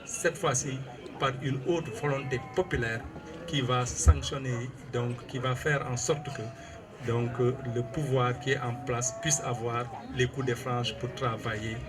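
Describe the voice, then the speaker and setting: A Senegalese man in his sixties giving a formal press statement, measured and hesitant with many fillers. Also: mid-range voice, fairly even intonation, crowd noise. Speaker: retired civil administrator and local politician. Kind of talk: press statement answering an interviewer.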